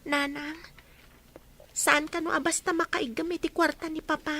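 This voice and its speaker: A woman's voice: high-pitched, flat delivery, normal speed, sharp tone. An actress playing a young woman student in a radio drama, pleading and hurt. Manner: pleading, tearful. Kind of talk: Ilocano radio drama acting.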